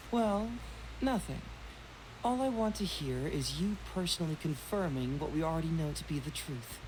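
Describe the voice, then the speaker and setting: Playful young male detective Heizo, lowish pitch. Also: animated, a little slow, long pauses, noisy background. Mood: teasing, confident. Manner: smooth, teasing, composed. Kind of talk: video game voice acting.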